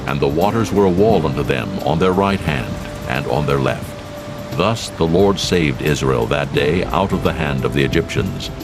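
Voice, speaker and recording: A man narrating scripture solemnly, in a grave, measured, scripted style. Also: a bass voice. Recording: prominent music behind the voice.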